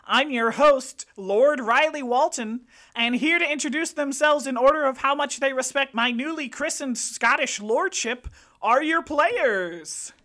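A grandiose self-made lord hosting a podcast, as a woman puts him on. He booms out the welcome loudly and high, with a bright, forceful tone. The delivery is pompous and theatrical. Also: unhurried. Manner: grandiose, booming showman delivery. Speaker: host.

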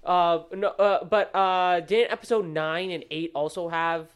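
A man's voice: high voice, flat delivery, a little slow, clean tone. A young man cohosting a podcast, laid-back and agreeable, joking with his friend. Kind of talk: casual chat.

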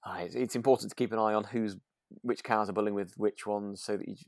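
A man co-hosting a podcast in relaxed, casual chat, feeling his way through thoughts with repeats and rephrasings. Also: lowish voice, fast, gravelly, no room sound, studio quality. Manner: hesitant, repeats and rephrases himself. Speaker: podcast co-host. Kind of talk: relaxed casual chat about a radio drama.

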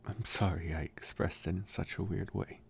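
A young man playing a protective bully with a secretly tender side in an intimate ASMR roleplay, speaking softly and haltingly with gruff care. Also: deep voice, fairly even intonation, slight background noise. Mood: bashful, tender. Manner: halting, soft-spoken, gruffly caring.

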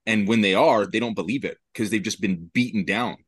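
A man's voice: fairly low pitch, fairly quick, breathy edge, no echo, studio quality. A man talking in an earnest, emphatic way during a casual podcast discussion.

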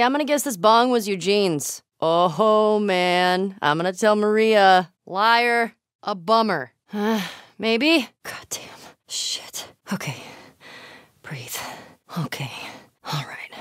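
A woman's voice: medium pitch, no room sound, studio quality. A woman with an American accent acting a tough, foul-mouthed, sardonic young survivor in a video game, in a naturalistic style. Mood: tense, hushed. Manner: sweary, muttering, sardonic.

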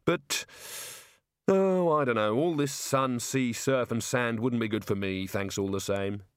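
A man's voice: medium pitch, a little slow, smooth, no echo, studio quality, natural voice. A man voicing a hapless, weary fugitive wizard in an audiobook story, mild, hesitant and politely wry.

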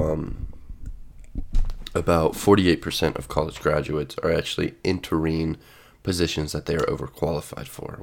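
A man's voice: a bass voice, gravelly. A male podcast host with a stuffy cold, musing aloud and reading definitions with many fillers. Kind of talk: solo podcast monologue. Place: sitting at home with coffee.

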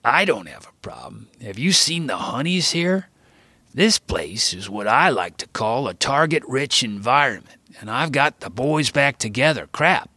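Guy doing a crude loud old gramps, gruff barking, slow, bright sharp tone. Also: mid-range voice, animated, no room sound, pitched above the performer's own voice, full character voice. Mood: rowdy. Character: loud crude old grandfather, brash and rowdy.

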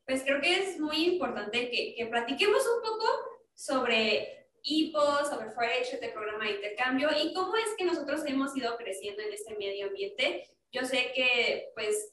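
A woman's voice: high voice, a bit bright, distant mic. A young woman hosting a casual podcast, chatty, with many fillers. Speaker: podcast host. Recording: echoey room, voice a bit off-mic, faint noise.

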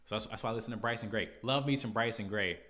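A man's voice: on the low side, fast talker, pushed and bright. An American man hosting a podcast, giving casual opinion commentary in a blunt, exasperated, slangy way. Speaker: podcast host.

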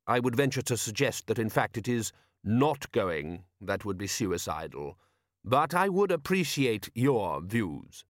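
A man voicing a calm, ironic male ruler in a storybook, formally polite and deliberate, with quiet menace. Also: fairly low pitch, no echo, studio quality. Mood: thoughtful menace.

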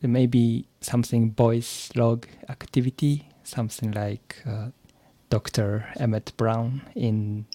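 A man's voice: mid-range voice, level tone, takes it slowly, dark tone, no room sound. A man from Japan with a Japanese accent, an English learner answering interview questions hesitantly, with hums of agreement. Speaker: podcast guest, English learner.

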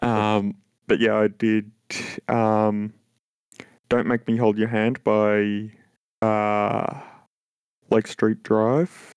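A young man with a very deep voice talks slowly and hesitantly, restarting his sentences as he chats on a podcast. The recording is dry and close, with little room sound. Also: level tone, darkish tone, clear, resonant tone, no room sound. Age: young adult. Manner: halting, hesitant, many restarts. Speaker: podcast co-host. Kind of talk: casual chat.

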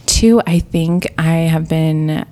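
A woman in her thirties co-hosting a casual chat podcast, with an even, monotone delivery. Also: low-pitched, takes it slowly. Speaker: podcast co-host.

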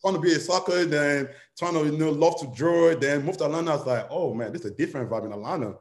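A man's voice: on the high side, fast. A young man talking casually as an interview guest, rambling and full of fillers. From Nigeria. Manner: rambling, many "you know" fillers.

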